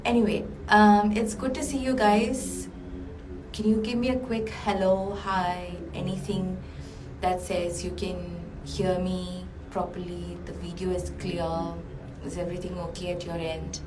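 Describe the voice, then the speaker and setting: A tired, hoarse woman hosts a live session as a business coach, chatty with many ums and uhs, speaking from a small cold cabin. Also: on the low side, level tone, measured pace. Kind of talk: live-streamed talk. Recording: roomy, far from mic, noisy.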